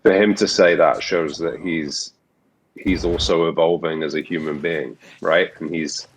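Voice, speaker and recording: A man's voice: extremely low pitch, steady tone, measured pace, slight background noise. A man being interviewed as a voice actor, talking thoughtfully with frequent restarts and fillers. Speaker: voice actor guest. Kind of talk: reflective interview talk. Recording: muffled lo-fi audio.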